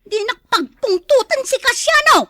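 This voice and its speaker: A woman's voice: extremely high pitch, expressive, moderate pace, bright, forceful tone, slight background noise, exaggerated voice. A woman playing a bossy, nagging wife in an audio drama, scolding and ordering her drinker husband around. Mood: exasperated, angry. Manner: scolding, commanding. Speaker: voice actor in a drama. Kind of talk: Ilocano audio drama acting.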